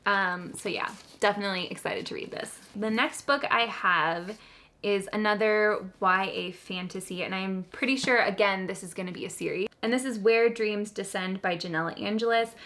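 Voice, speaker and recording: A woman's voice: medium pitch, normal speed, a bit bright. A woman hosting a book video, chatting casually to viewers in an enthusiastic, giggly, gushing way. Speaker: host of a book haul video.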